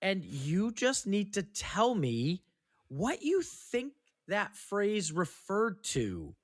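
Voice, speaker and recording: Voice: mid-range voice, huge pitch swings, very slow. A podcast host casually and playfully leading a word game among friends. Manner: slips into put-on old-time slang voice.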